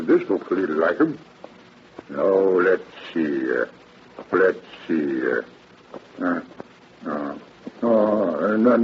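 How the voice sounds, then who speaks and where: A man's voice: on the low side, slight background noise. A man playing an eager, hesitant male witness who stammers and mutters huskily, in 1930s radio drama style. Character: eager, dithering, obliging male robbery witness. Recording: dull old radio recording.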